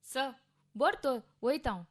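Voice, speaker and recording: Brisk government garbage woman, Goan accent, high voice, indignant, radio comedy drama. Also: animated, background noise. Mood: officious, explaining. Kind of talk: Konkani radio play acting.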